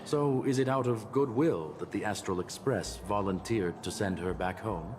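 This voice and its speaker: A man voicing a polite, formal robot scholar in a video game, speaking in a measured way. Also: lowish voice, a little mellow, lightly acted. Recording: game dialogue over music.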